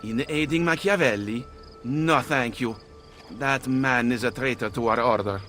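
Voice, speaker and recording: An actor playing a suspicious, sardonic master thief in a video game cutscene. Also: male voice, mid-range voice, normal speed, fairly bright tone, soft background music, close to an everyday voice. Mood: accusing.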